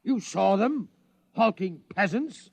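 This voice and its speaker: An actor playing a pompous, impatient imperial inspector with a blustering delivery in a 1950s radio drama. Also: male voice, sits high, expressive, slow, gravelly, husky, full character voice. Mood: indignant. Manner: barking, blustering, sputtering. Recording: muffled vintage 1950s radio recording.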